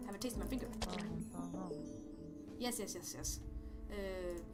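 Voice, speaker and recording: A man putting on a raised, goofy voice with a Swedish accent, rambling and hesitant as he comments on a game. He yelps and stammers at every surprise. Loud game music plays behind him. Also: sits high, measured pace. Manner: rambling, hesitant, jumpy exclamations. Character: goofy high-voiced man persona, rambling and easily startled. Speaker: let's play host. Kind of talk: let's play commentary.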